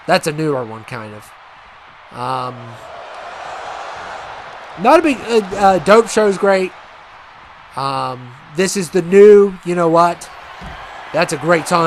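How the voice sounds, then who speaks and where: A man casually commentating a wrestling video game match, rambling and chatty with many fillers, and he says he has a loud voice. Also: on the high side, expressive, slow pace, pauses often, background noise. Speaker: gaming commentator.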